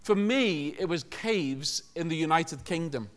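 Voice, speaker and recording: High-voiced man preaching informally. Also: lively intonation, a little slow, a bit bright. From Liverpool, England. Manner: informal, joking, engages listeners with questions. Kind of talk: conversational sermon to a congregation.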